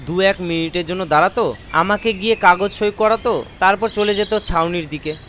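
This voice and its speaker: A man with a high voice narrates a first-person horror tale as a dramatised reading. Clear outdoor ambience with birds runs behind his words. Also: moderate pace, a bit bright, a little hiss or hum. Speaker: story narrator. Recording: constant nature ambience effects behind voice.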